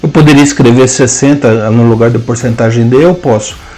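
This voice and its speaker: A man with a Brazilian Portuguese accent teaching a programming lesson, dictating code symbol by symbol with hesitant pauses. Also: mid-range voice, mellow, faint music behind, poor audio. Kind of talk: slow screen-cast coding lesson, dictating code aloud.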